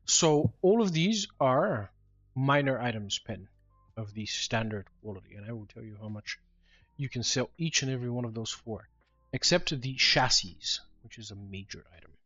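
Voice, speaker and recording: A man's voice: mid-range voice, animated, moderate pace, fairly bright tone, no room sound, highs cut off, soft background music. A man speaking as game master, casually talking through rules during an online tabletop game. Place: online voice call.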